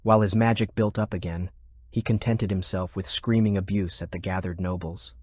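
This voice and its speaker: Low-pitched man narrating, very dry sound. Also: steady tone, moderate pace, a little mellow, dry recording. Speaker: audiobook narrator. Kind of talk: scripted narration of war fantasy fiction.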